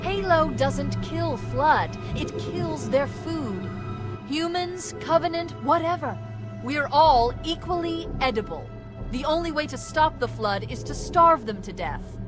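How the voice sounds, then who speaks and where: A woman voicing a sharp-tongued artificial intelligence in a video game, sarcastic and emphatic. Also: high-pitched, lively intonation, measured pace, music in the background. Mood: grim, urgent. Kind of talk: video game cutscene acting.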